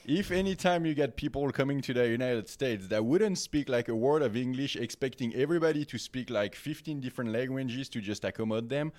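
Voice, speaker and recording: A man's voice: mid-range voice, fairly quick, few pauses, a little mellow. A man with a French accent chatting as a podcast guest, rambling with lots of fillers and restarts. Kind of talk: casual podcast chat.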